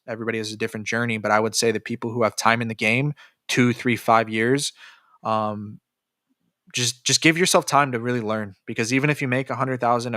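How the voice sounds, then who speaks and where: A man's voice: medium pitch, pushed and bright, no room sound, clean studio sound. A young man livestreaming about crypto, reading out chat comments and answering in a loose, profane way, with lots of fillers and restarts, tired from staying up late.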